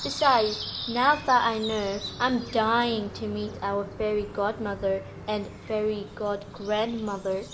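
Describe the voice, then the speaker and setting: A woman's voice: medium pitch, fairly even intonation, slow, hardly any pauses, natural voice. A female performer playing an eager, curious girl lost in a storybook in an amateur school radio play. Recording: noisy, birdsong and ambience behind.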